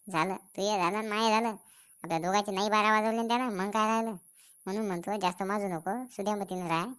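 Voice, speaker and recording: A woman plays a scheming young village rascal in a comic cartoon, with a smooth medium-pitched voice. He lectures and warns bossily in a rural Maharashtrian accent. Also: natural voice. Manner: lecturing, warning.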